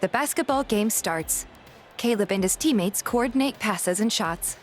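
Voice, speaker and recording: A woman's voice: mid-range voice, animated, normal speed. A woman reading scripted first-person story narration, like an audio drama. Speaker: voice actor narrating and playing the heroine of a fan dub. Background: music.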